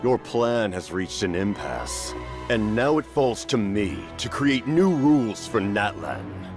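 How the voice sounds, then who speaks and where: Cold game villain, low voice, slow ominous delivery, swooping pitch, music behind. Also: male voice, big pitch swings, creaky, exaggerated voice. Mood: menacing. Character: menacing male villain, cold and grandiose. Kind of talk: game voice acting.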